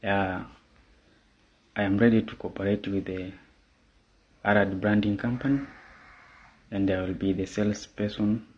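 A man from Tanzania, a salesperson, introducing himself deliberately with pauses in a self-recorded video. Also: fairly low pitch, fairly even intonation. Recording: dull lo-fi phone audio.

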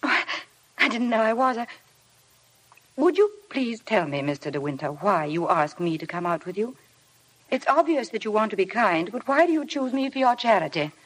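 An actress playing a shy, devoted young bride in 1950s radio drama style, polite, hesitant and self-effacing. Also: female voice, medium pitch, big pitch swings, moderate pace, breathy edge, poor audio. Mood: shy, wistful. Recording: vintage radio broadcast with music.

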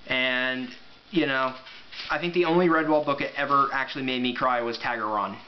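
Male, 20s, casual amateur vlogger, mid-pitched, dull camera audio with some noise. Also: fairly even intonation, moderate pace. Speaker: amateur book reviewer. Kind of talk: casual vlog review with read-aloud passages. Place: small room at home, indoors.

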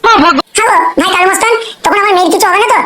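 A woman's voice: very high voice, rapid-fire, a crowd in the background. A woman voicing a distressed, poor mother-in-law in a comic cartoon, plaintive and wordy. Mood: anxious. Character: distressed, pleading poor mother-in-law begging a gangster for help. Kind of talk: amateur comic cartoon dialogue.

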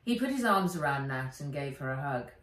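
A middle-aged woman reading a children's book aloud as its author, with measured, animated storytelling. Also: a bass voice. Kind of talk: expressive children's audiobook-style narration. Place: living room by a window. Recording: roomy, distant home camera sound.

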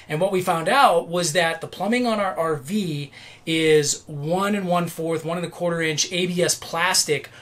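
A man's voice: on the high side, fairly bright tone. A man in his forties explaining a motorhome sink swap to camera in a chatty, self-deprecating way, full of fillers and restarts, inside a motorhome kitchen. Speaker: RV renovation vlogger. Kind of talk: casual DIY how-to talk to camera.

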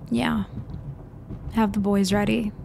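A woman playing a cool, teasing, controlling mafia leader in an ASMR roleplay, speaking deliberately in a murmur that often drops toward a whisper. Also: mid-range voice, flat delivery, creaky, a little hiss or hum. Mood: cool, businesslike. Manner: slow, deliberate, softly taunting, often dropping to a whisper. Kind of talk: ASMR roleplay audio acting.

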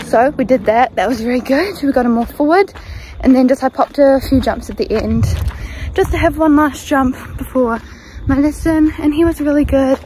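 Teen girl vlogger, high voice, upbeat and chatty, phone-mic audio outdoors with noticeable background noise. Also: moderate pace, darkish tone, low-quality recording. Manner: chatty, upbeat, talks to camera while walking. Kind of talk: casual vlog chat. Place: outdoors at a horse farm. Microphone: phone at arm's length.

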